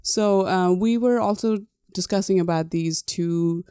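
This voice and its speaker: Woman podcast host from Nepal, native Nepali speaker, conversational, clean smooth tone, very dry recording. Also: on the low side, unhurried, dark tone, no echo. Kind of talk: casual conversational podcast talk.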